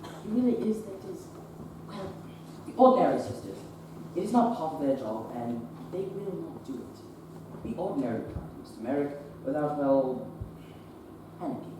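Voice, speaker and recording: A young woman acting a polite, anxious Victorian male doctor in a stage play, halting and full of fillers. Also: sits low, sing-song. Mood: hesitant. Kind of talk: teen stage play performance. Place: theatre stage. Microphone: distant room mic. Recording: echoey stage recording from far off, noisy.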